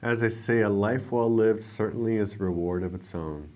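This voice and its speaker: Very deep-voiced, tired man. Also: moderate pace. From United States. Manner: soft-spoken and drowsy, trailing off quietly. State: tired, not well rested. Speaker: livestream host. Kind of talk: casual livestream chat, reading viewer comments.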